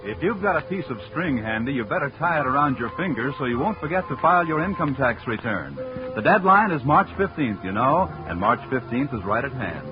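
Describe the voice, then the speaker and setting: A man's voice: mid-range voice, big pitch swings, a little fast. A man working as a network announcer, reading scripted credits and notices in 1950s radio style. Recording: muffled old radio broadcast, faint music.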